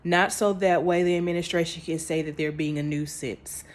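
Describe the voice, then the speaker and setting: Low-voiced woman giving casual commentary. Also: steady tone, moderate pace. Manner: conversational and emphatic, with restarts; informal speech with dropped grammar. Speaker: vlogger.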